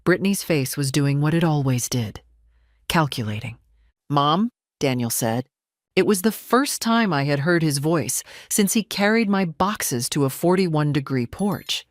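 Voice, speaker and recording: Female story narrator: deep register, composed, deliberate, very dry close sound. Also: animated, no echo. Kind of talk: scripted first-person dramatic narration.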